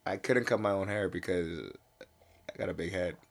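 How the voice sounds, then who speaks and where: A man's voice: low voice, normal speed, creaky. An American-born man in casual podcast chat, joking and swearing freely. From United States.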